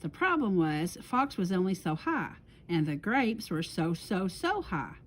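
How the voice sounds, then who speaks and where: A woman reading a children's storybook aloud expressively, with deliberate dramatic pauses. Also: lowish voice, big pitch swings. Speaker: library storyteller.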